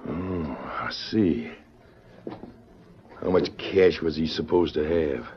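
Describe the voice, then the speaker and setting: A man with an American accent acting a suspicious rustic outlaw in an old-time radio western, gruff, folksy and wary, with a gravelly voice. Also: a bass voice, slow. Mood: wary, sly. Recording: muffled, noisy vintage radio recording.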